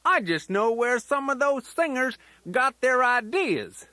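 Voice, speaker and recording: A man's voice: very high-pitched, sing-song, a little slow, sharp tone, no room sound, exaggerated voice. A man voicing a folksy, playful old grandfather teddy bear who rambles in a children's storytelling tape. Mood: cranky, amused. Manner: folksy, rambling, sing-song rhyming asides.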